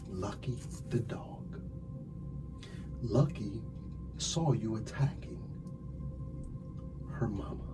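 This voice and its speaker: A man playing a smug police detective in an ASMR crime roleplay, telling things dramatically with drawn-out, hushed phrases. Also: lowish voice. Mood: hushed, dramatic. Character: smug theatrical male police detective interrogating a suspect.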